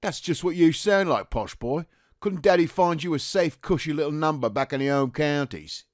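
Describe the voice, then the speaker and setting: An actor playing a resentful working-class teenage soldier in an audio drama, with a Cockney accent and a blunt, mocking manner. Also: male voice, fairly high pitch, moderate pace, no room sound, studio quality, lightly acted. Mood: scornful.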